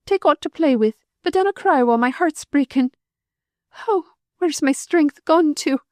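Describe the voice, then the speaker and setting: A woman's voice: high voice, expressive, moderate pace, dry recording, pitched above the performer's own voice. A woman voicing an ailing, grieving poor wife with a put-on Northern English dialect, querulous and rising into wild pleading. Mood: frantic grief.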